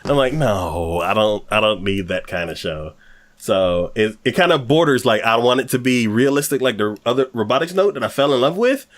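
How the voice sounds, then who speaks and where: A man's voice: mid-range voice, expressive, moderate pace. A man co-hosting an anime podcast, chatting casually and rambling with lots of fillers. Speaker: podcast co-host.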